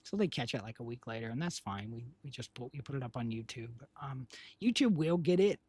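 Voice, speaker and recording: A man with a raspy, husky voice, hoarse today, chatting casually on a live stream. He rambles along with lots of fillers and restarts, at a mid pitch. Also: expressive, a little fast, a little mellow, no echo. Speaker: streamer and developer.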